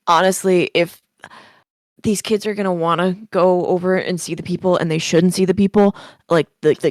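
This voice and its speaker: A woman's voice: on the low side, darkish tone, no room sound, natural voice. A woman voicing Dario, an anxious, blunt young male camper who rambles with worry, in a tabletop role-play. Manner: worried, rambling, quick.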